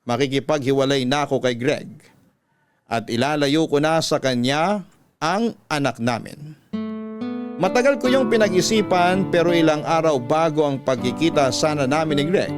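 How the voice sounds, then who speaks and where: A man's voice: on the high side, normal speed, over music. A man narrating a dramatic story as a radio host, reading scripted first-person lines.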